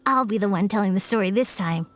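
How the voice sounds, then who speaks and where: A woman's voice: medium pitch, quick pace, natural voice. A woman voicing a thoughtful, slightly nervous young boy storyteller.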